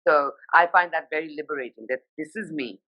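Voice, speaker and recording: A woman's voice: sits low, fairly quick, pushed and bright, poor audio. A woman from Sikkim, India, whose first language is Nepali, talking animatedly and emphatically as an interview guest over a live video call. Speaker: guest, fashion journalist.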